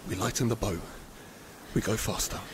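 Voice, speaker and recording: A man's voice: on the low side, steady tone, moderate pace, lightly acted. A man playing a terse, grimly practical sailor in an audio drama, speaking in clipped lines. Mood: grim, resolute. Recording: clear background noise and ambience.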